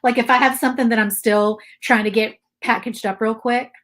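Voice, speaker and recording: A woman's voice: fairly high pitch, steady tone, brisk, a bit bright. A woman from Virginia with an Appalachian accent, chatting casually and rambling to viewers while she paints on a craft livestream. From Virginia, USA. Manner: chatty, rambling, reads viewer comments aloud. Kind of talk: casual live craft stream chat.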